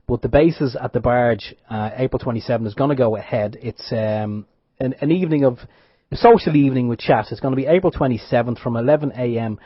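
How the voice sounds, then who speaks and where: A man's voice: medium pitch, a little fast, breathy edge, no room sound. Male radio co-host reading out event details. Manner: self-correcting, good-humoured, running through details. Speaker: radio show co-host and producer. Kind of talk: casual radio chat, reading announcements. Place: radio studio. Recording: dull lo-fi sound, dry studio.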